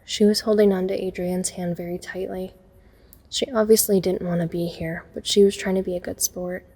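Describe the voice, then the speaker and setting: A woman's voice: lowish voice, fairly even intonation, moderate pace, warm tone, husky. A woman playing a watchful young woman who narrates a night break-in in a scripted audio drama. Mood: measured, suspenseful. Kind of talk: scripted first-person narration.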